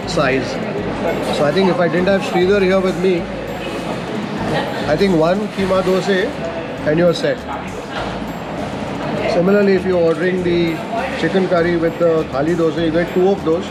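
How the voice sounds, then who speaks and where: A man from Bangalore with an Indian English accent, describing and judging dishes at a roadside restaurant in a measured, detailed way. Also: sits high, steady tone, loud music behind the voice. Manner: measured, descriptive tasting commentary. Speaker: food vlogger host. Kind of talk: casual food review vlog.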